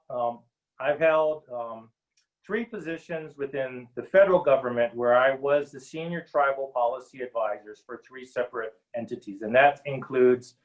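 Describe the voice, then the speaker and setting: A middle-aged man from Hawaii speaking earnestly as a panel guest on a video call, with many pauses and ums. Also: mid-range voice, highs cut off. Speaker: panel guest, Native policy advisor. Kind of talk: webinar panel talk.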